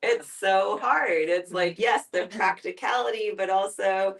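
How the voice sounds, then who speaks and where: A woman's voice: mid-range voice, measured pace, vocal fry, fairly bright tone. A woman talks casually in a group discussion from a little way off the microphone. Her manner is joking and she is prone to laughing. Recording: distant microphone.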